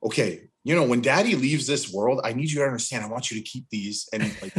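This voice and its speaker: A man's voice: medium pitch, lively intonation, fast. A man telling casual stories in a group podcast chat.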